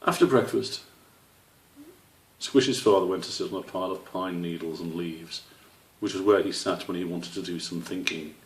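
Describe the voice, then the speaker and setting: A man's voice: low-pitched, normal speed, slight background noise. A man reading a children's story aloud as its narrator. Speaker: author reading own story. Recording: roomy, slightly distant microphone.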